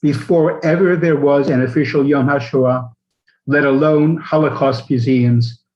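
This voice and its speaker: An older man chairing a memorial event, speaking in a measured way over a video call. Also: medium pitch, steady tone, muffled recording. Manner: measured, switches between Yiddish and English.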